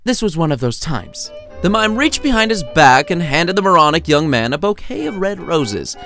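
A man narrates a comedic short story with very animated intonation and a slightly high voice. His telling is wry and theatrical, over faint music. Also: moderate pace. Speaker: author reading his own short story.

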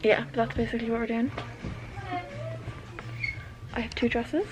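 A woman's voice: a little high, steady tone, moderate pace, loud music behind the voice. A woman in her twenties with an Irish accent, vlogging casually and chatting with a giggly, self-deprecating manner. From Ireland. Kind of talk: casual vlog chat. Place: inside a car and out on town streets. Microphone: handheld camera at arm's length. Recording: loud road and street noise.

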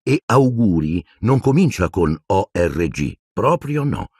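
A man's voice: fairly low pitch, very animated, slow pace, darkish tone, husky, no room sound, studio quality, full character voice. A man voicing a clever, precise teenage schoolgirl in an audiobook. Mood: brisk.

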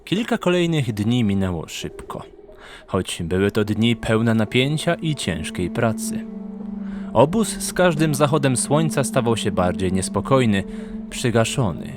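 A man narrating a fantasy audio drama in a measured, suspenseful storytelling manner. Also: lowish voice, lively intonation. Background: music.

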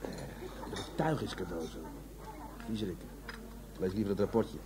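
An actor playing a gruff, world-weary police adjutant in a radio drama, blunt and matter-of-fact. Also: male voice, fairly low pitch, animated, normal speed, background noise, natural voice. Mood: bitter, controlled. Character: gruff, world-weary male police adjutant who masters his anger.

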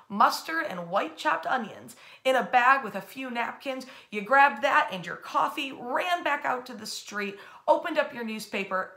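An American woman in her thirties telling history informally, with an animated, emphatic delivery. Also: fairly high pitch. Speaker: history storyteller and host. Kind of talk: informal history lesson told as storytelling. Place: bedroom, indoors.

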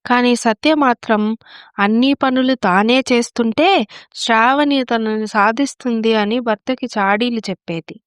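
A woman's voice: a little high, a little slow, clear, resonant tone, no room sound, studio quality. A woman narrator telling a scripted moral story.

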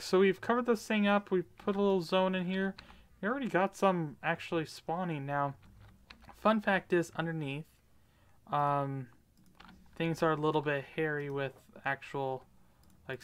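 A man's voice: on the high side, a bit bright, smooth. Upbeat rambling man narrating gameplay. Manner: rambling, many fillers, upbeat. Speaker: gaming video creator. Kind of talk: casual gameplay commentary.

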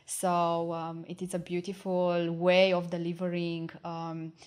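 A woman, a guest answering calmly with many pauses on a studio mic. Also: on the low side, smooth. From Romania. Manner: calm, measured, many hesitations. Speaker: guest, spiritual mentor. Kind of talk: interview answers. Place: podcast studio with wooden wall and plants. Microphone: studio mic on boom arm.

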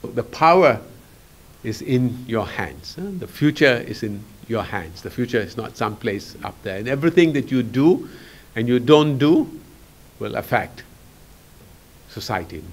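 A man's voice: medium pitch, expressive, measured pace. An older man addressing a youth conference from a podium microphone, speaking emphatically with rising and falling emphasis. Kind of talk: speech to an audience. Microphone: stand microphone at a podium.

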